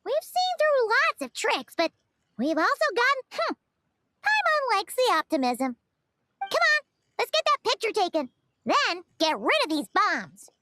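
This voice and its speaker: A woman voicing a small, excitable, childlike floating girl companion in a video game, with a squeaky, chirpy delivery. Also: unhurried, noisy background, full character voice. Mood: thoughtful, chatty. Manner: chirpy, refers to self in third person. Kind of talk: video game character acting.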